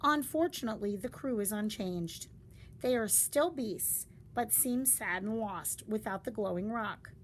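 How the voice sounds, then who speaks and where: A woman reading a story aloud in a deliberate, dramatic storytelling style. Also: mid-range voice. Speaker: storybook reader. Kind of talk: expressive read-aloud of a story. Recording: faint background noise.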